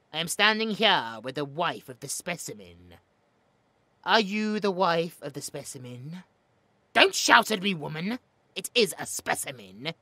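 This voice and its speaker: A man's voice: on the high side, pushed and bright, no room sound, heavily acted. A man with a British accent playing a smug, cruel mad scientist in an audio roleplay, taunting, theatrical and clinically precise. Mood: excited, clinical.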